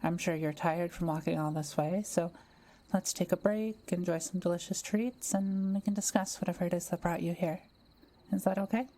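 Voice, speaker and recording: A woman playing a kind, welcoming young witch who lives alone in the forest, speaking gently and playfully to the listener in a roleplay audio drama. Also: fairly low pitch, steady tone, natural voice. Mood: cozy, friendly. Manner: gentle, unhurried, softly playful.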